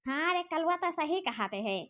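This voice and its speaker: A woman's voice: very high-pitched, expressive, moderate pace, fairly bright tone. A woman voicing a quarrelsome, earthy village sister-in-law in a cartoon comedy, scolding and complaining with an Awadhi accent. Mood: grumbling. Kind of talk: rustic cartoon comedy dialogue. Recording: dull lo-fi sound.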